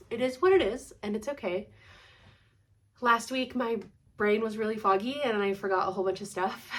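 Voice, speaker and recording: Stuffy-nosed woman chatting casually. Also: mid-range voice. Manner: chatty, laughs often, rambling asides. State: congested, stuffed-up nose. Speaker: cross-stitch vlogger. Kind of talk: casual chat.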